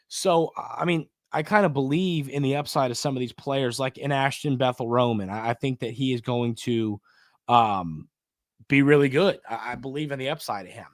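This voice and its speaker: A man's voice: mid-range voice, fairly bright tone, no echo. Emphatic male sports podcast host, dry sound. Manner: emphatic, repeats phrases for stress. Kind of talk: conversational sports commentary.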